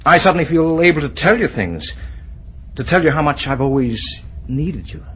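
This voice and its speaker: A man playing a bashful, lovestruck young man in an old-time radio drama, with an earnest, halting delivery. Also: a little high, big pitch swings, a bit bright. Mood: tender, yearning. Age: young adult. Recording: noisy vintage radio drama recording.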